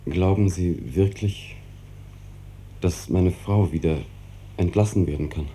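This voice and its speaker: An actor playing an anguished, insistent husband in a 1950s German radio drama, earnest and pressing, on an old noisy recording. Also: male voice, sits low, slow pace, mellow.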